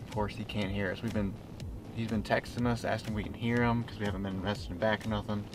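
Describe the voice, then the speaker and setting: A man's voice: on the low side, little pitch movement, quick pace. Casual man presenting, music behind him. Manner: informal, plain-spoken. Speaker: host of a car tech review channel. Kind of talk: casual product review vlog.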